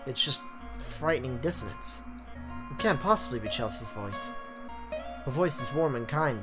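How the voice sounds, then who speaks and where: A man doing a let's play, reading visual novel narration aloud in a theatrical, dramatic way with joking asides. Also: medium pitch. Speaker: gaming YouTuber. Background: game music.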